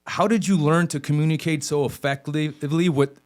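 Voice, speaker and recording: A man's voice: fairly high pitch, steady tone, brisk. Rambling, hesitant male podcast host. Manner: rambling, hesitant, many fillers. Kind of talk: podcast interview.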